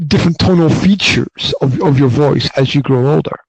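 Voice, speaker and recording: A man in his forties with a fairly high voice hosts a relaxed interview chat. He talks quickly in bursts, stumbling over repeated words and restarting sentences. The recording is dry and close. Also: husky, dry recording, poor audio. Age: 40s. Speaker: podcast host.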